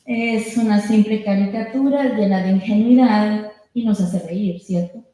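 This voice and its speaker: A woman with a Spanish accent makes a public comment as a union member. Her voice is mellow and mid-pitched, and she speaks in short passages. The meeting room rings with heavy echo. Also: moderate pace. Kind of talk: public comment speech with pauses for an interpreter. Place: indoor public meeting hall.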